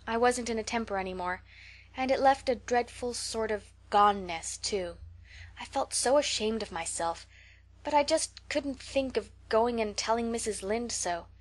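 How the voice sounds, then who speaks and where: A woman's voice: fairly high pitch, moderate pace, close to an everyday voice. A woman voicing a young, impulsive and imaginative orphan girl who speaks in a theatrical, tremulous, pleading way. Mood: thoughtful.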